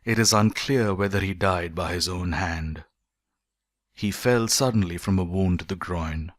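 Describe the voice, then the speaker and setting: A man reading a philosophical essay as an audiobook narrator, in measured, deliberate phrases with emphatic stresses. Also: sits low, dry recording.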